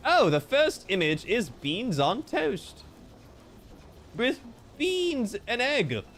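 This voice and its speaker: A man's voice: extremely high pitch, sing-song, slow pace, fairly bright tone, a little hiss or hum. A man streaming live, reading out viewer comments in a playful, theatrical way with mock-grandiose joking. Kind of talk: casual livestream chat reading viewer comments.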